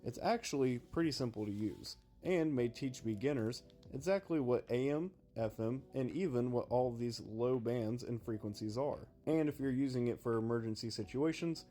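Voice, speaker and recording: A man's voice: mid-range voice, normal speed, hardly any pauses, mellow. Man presenting a product review over music. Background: background music.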